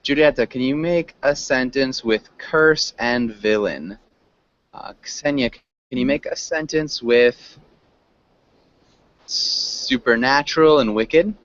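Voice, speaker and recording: A man with a mid-pitched voice leads an online English lesson. He prompts students with questions in an encouraging way. The sound is dull and lo-fi, like a group call. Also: takes it slowly, a bit bright, no room sound. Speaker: teacher of an online English class. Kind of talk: interactive vocabulary lesson. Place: online group video class.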